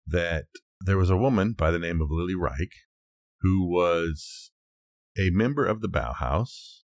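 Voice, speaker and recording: Male co-host, deep register, dry studio-tight sound, casual explainer who hedges as he goes. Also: no echo. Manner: conversational, hedging, thinking aloud. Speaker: podcast co-host. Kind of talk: casual design discussion, telling researched history.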